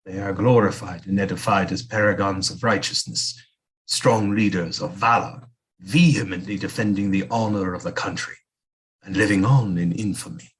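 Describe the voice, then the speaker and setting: Man acting as court seer and physician, low pitch, grandiloquent, flattering, theatrical, audio play. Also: moderate pace, natural voice. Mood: grave, courtly.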